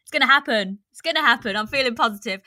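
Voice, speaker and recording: A woman's voice: on the high side, fast, pushed and bright, dry recording. A woman presenting a football podcast in a casual, chatty style. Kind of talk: casual sports chat and presenting.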